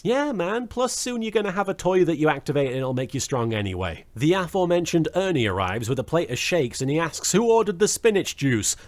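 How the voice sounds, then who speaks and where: A man narrating a comedic scripted episode recap as a video host, full of wry, sarcastic asides. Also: on the high side.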